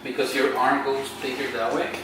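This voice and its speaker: A man's voice: medium pitch, flat delivery, moderate pace. A man with a Spanish accent, a tattoo artist chatting in a friendly, easygoing way. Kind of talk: casual chat. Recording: roomy, distant, loud music.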